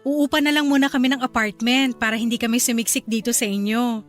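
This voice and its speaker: A young bride-to-be in a radio drama, played by a woman. Her voice is high, sweet and polite, always agreeable, with music playing behind her. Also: steady tone, fast, natural voice. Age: young adult. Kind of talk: Filipino radio drama acting.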